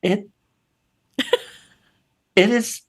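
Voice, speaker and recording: A man speaking as an interview guest, telling stories casually with a halting, wry, humorous delivery. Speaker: guest playwright and actor.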